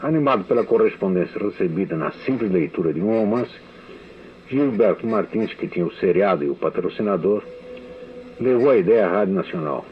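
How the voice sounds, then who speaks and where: An older man from Brazil with a Brazilian Portuguese accent, reading his prepared memoir as a recorded testimony, measured and deliberate. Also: low-pitched, animated. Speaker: writer giving a recorded testimony. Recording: tinny phone-like archive radio recording.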